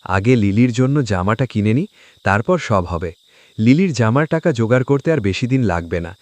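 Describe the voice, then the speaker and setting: A man's voice: lowish voice, animated, moderate pace, a little mellow, dry recording, lightly acted. A man playing a poor, hardworking village father, earnest, meek and polite, in a moral-story cartoon. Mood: hopeful.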